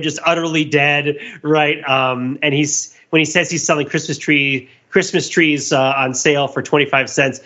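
A man hosting a casual comedy podcast, retelling stories in an animated, rambling way full of tangents. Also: fairly high pitch. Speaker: podcast host. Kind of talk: casual comedic pop-culture discussion. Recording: dull lo-fi podcast audio.

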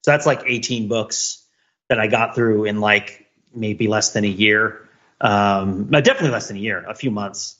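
A man's voice: on the low side, moderate pace, fairly bright tone, lo-fi sound. A middle-aged man co-hosting a podcast, chatting casually and reflectively in a discursive way, often correcting himself. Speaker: podcast co-host.

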